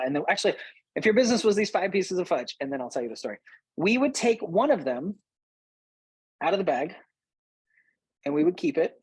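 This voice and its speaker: Male host, late thirties, slightly husky and close-recorded, conversational storyteller with emphatic repeats. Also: sits high, no room sound, low-quality recording. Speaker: host, business coach. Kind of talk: personal storytelling to listeners.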